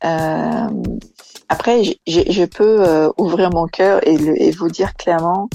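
A woman's voice: lowish voice, steady tone, measured pace, mellow, faint music behind. A woman from Brazil, an engineering manager answering interview questions hesitantly with many fillers and restarts.

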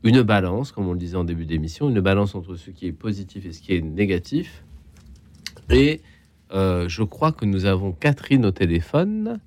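A man hosting a late-night radio call-in show, speaking gently and patiently with many soft affirmations. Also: low voice, big pitch swings, moderate pace. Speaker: radio host. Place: radio studio.

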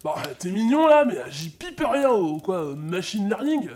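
High-voiced, grumbling Santa Claus dad. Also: male voice, sing-song, a little slow, a little mellow. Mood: grumbling, baffled. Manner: colloquial, hesitant grumbling, easily swayed. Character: grumpy, bumbling old-fashioned Santa Claus, a father. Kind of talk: comedic audio fiction acting.

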